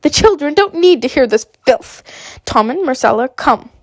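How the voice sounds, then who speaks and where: A haughty, cold queen, put on by a woman reader who is sick, clipped and disdainful. The voice sits fairly high with a gravelly texture. The recording is dry and close. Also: expressive, normal speed, dry recording.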